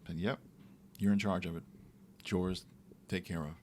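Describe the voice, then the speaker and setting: Low-voiced man in relaxed podcast talk. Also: moderate pace, a little hiss or hum. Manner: relaxed, reflective, acts out quotes. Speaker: podcast co-host. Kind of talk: casual faith talk.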